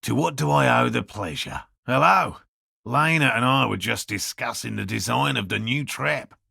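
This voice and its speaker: Gravelly, earnest eccentric cryptozoologist. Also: male voice, mid-range voice, lively intonation, moderate pace, bright, forceful tone, no echo, clean studio sound, heavily acted. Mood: excited, hopeful. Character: earnest eccentric male cryptozoologist scientist, obsessive but courteous. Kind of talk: video game dialogue voice lines.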